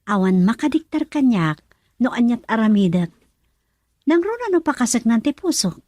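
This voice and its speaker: A woman playing a playful, tender young country woman in love in an Ilocano radio drama, expressive and teasing with an Ilocano accent. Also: on the high side, normal speed, no echo, studio quality.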